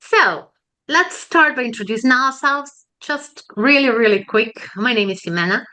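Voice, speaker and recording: A woman's voice: on the high side, expressive, normal speed, pushed and bright. A woman from Argentina, opening a webinar in a friendly way with some fillers. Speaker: webinar co-host, professor. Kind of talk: webinar presentation.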